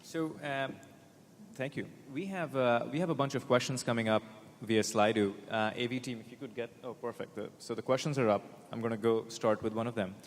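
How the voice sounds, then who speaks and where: A man moderating a panel, asking quick questions with light humor. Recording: reverberant hall with faint rumble.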